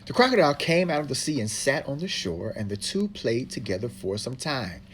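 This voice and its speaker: Very low-voiced woman reading a story, animated. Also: a little fast, a little hiss or hum. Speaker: narrator, reading partner to a child. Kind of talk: read-aloud storybook narration.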